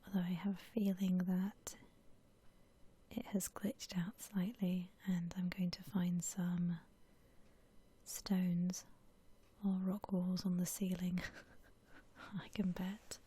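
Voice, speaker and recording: A woman doing whispered ASMR commentary over a video game, gentle and unhurried in a breathy whisper. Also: fairly low pitch, flat delivery, slight background noise. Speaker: video game streamer narrating her building session.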